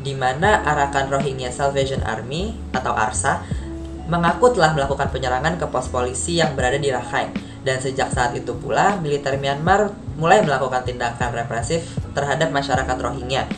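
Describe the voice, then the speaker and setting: Very low-voiced young woman narrating. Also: brisk. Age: young adult. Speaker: student presenter. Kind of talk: scripted explainer narration. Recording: echoey room, music behind. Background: background music.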